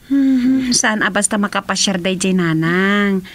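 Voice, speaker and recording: A woman's voice: medium pitch, unhurried, fairly bright tone. A woman playing a concerned, sensible family member in a radio drama about village life. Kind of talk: radio drama dialogue in Ilocano.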